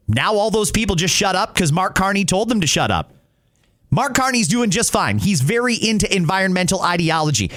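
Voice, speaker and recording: High-pitched male podcast host. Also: fairly bright tone. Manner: opinionated, conversational, emphatic. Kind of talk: political commentary, answering listener messages.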